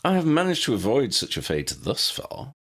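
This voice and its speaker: A man's voice: medium pitch, wildly swooping pitch, moderate pace, fairly bright tone, pitched above the performer's own voice. A man voicing a wordy, courteous, eccentric old man in an audiobook, with formal and ornate phrasing. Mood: wry. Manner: formal, ornate, pompous diction.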